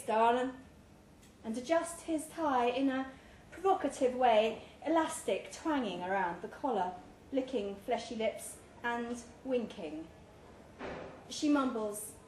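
A woman reading a literary short story aloud at a live event. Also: fairly high pitch. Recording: roomy live recording with clear background noise.

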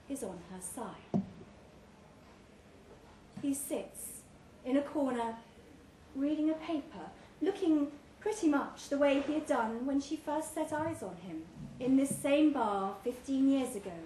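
Slow, high-voiced woman reading a story. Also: expressive, warm tone. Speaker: story reader. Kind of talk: scripted narration, live short-story reading. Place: pub, live reading event. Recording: echoey room, clear background noise.

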